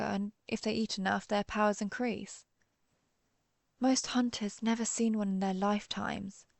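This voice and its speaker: A woman's voice: mid-range voice, gravelly, darkish tone, no echo. A woman playing a centuries-old vampire hunter turned vampire, guilt-ridden but resolute, in a somber, confessional audio roleplay monologue. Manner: confessional, somber, measured storytelling.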